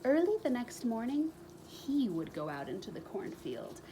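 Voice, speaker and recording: A woman reading a children's book aloud as a storyteller, expressively, with dramatic pauses and emphatic repetition. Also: on the high side, big pitch swings, warm tone, a little hiss or hum, rough recording.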